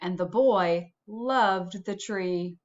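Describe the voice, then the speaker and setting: A woman's voice: medium pitch, very slow, highs cut off. A woman reading a storybook aloud to children, like a school principal addressing pupils.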